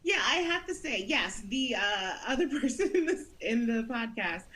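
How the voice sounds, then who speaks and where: A woman's voice: a little high, very animated, normal speed, fairly bright tone, low-quality recording. A woman in her thirties from the United States, an actress talking earnestly in an interview and laughing often. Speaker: guest actress. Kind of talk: interview conversation.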